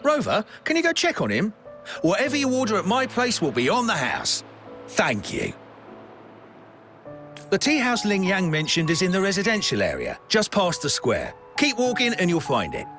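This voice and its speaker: An actor playing a chatty, fretful small-shop food vendor in a video game, with an animated, expressive delivery. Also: male voice, high-pitched, normal speed, exaggerated voice. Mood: friendly request. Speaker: shopkeeper character. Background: music behind the voice.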